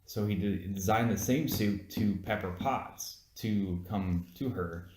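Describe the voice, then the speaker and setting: A man's voice: fairly low pitch, measured pace, darkish tone, a little hiss or hum. A man in a casual chat as an amateur reviewer, explaining things insistently and with some swearing. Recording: roomy, echoing room sound.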